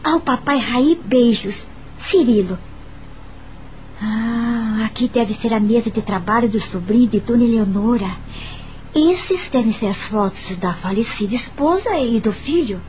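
An actress with a Brazilian accent playing an anxious, curious young governess in a dramatic radio drama, narrating in the first person. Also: female voice, fairly high pitch, measured pace, darkish tone, slight background noise, close to an everyday voice, rough recording. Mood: curious, intrigued.

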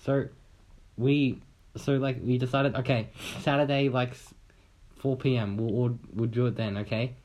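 A man's voice: mid-range voice, steady tone, moderate pace, darkish tone. A young man from Australia telling a long, rambling story in casual chat on a podcast, swearing casually and acting out what people said.

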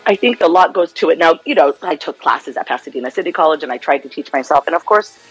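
A woman's voice: low-pitched, lively intonation, quick pace, breathy edge, no echo, soft background music, low-quality recording. A woman from Los Angeles with an American accent, a clinical psychologist chatting as a radio guest and laughing easily. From Los Angeles, United States. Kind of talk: casual radio interview.

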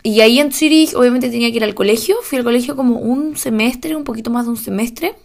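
A woman's voice: on the high side, fast talker, vocal fry, darkish tone. A young woman from Chile with a Chilean Spanish accent, talking casually on her own podcast, chatty with fillers and small laughs. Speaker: podcast host.

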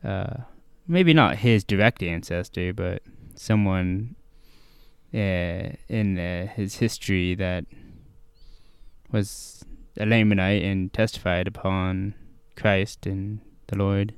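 Male co-host, slow and halting, crisp low voice, dry close recording. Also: no room sound. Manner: slow, hesitant, many fillers. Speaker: podcast co-host. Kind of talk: casual scripture discussion.

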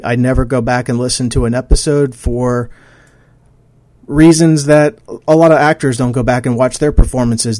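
A man's voice: medium pitch, darkish tone, no echo. A man in his forties hosting a podcast on his own, talking casually with many fillers and restarts. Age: 40s. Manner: rambling, frequent fillers and restarts. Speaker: podcast host. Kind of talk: casual solo podcast talk.

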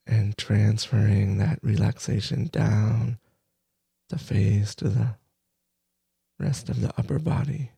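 Male meditation guide: dark, relaxed, breathy delivery in halting phrases, soft-spoken. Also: lowish voice, no room sound. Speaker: meditation teacher.

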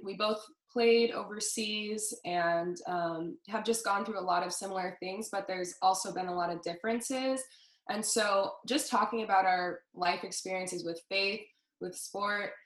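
A woman's voice: fairly low pitch, a bit bright, roomy sound, low-quality recording. A woman in her twenties from Southern California, co-hosting a podcast in an upbeat, casual way. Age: 20s. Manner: upbeat, friendly, lots of fillers. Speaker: podcast co-host. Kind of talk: casual chat.

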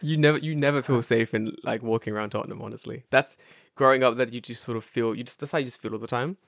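A man with a London accent hosting a podcast, excitable and casual, swearing and laughing often. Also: medium pitch, fast talker, gravelly, dry recording, clean studio sound. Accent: London English. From North London, England. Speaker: podcast host. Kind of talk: casual chat.

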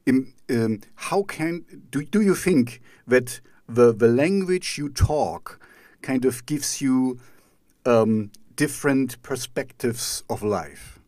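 A man's voice: mid-range voice, animated, darkish tone. German guy hosting a chat in english, thick german accent, stops and restarts a lot, um um, dry close sound no room echo. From East Germany. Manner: rambling, halting questions full of restarts and fillers. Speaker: podcast host. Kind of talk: conversational interview.

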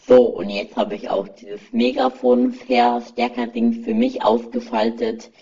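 A man's voice: medium pitch, huge pitch swings, a little slow, vocal fry, warm tone, breathy edge. A man chatting casually, his voice altered by an electronic voice changer. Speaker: podcast editor. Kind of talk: casual chat. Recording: dull lo-fi recording, off the mic.

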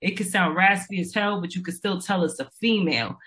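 Blunt, opinionated low-voiced woman. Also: brisk, fairly bright tone. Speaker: co-host of a reaction show. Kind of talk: casual chat reacting to a video.

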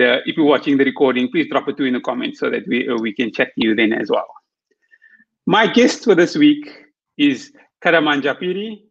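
A man's voice: medium pitch, a bit bright, muffled recording. A man hosting a live interview show, who asks his questions in a structured way. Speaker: podcast host.

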